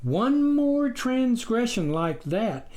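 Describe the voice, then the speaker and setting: A man reading his own written story as scripted audiobook narration, in an expressive storytelling manner. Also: extremely high pitch, takes it slowly. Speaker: author reading his memoir.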